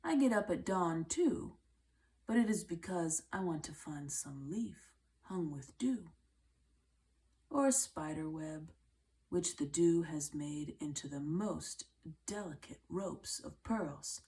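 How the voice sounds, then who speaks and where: A woman voicing an earnest, humble older male farmer who loves nature, speaking deliberately in a storybook read-aloud. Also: sits low, animated, mellow, full character voice. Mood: quietly proud.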